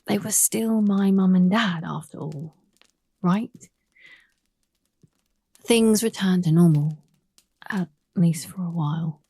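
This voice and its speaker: A woman narrating a first-person story in a confessional, halting manner with dramatic pauses. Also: fairly low pitch, dark tone, husky, no echo.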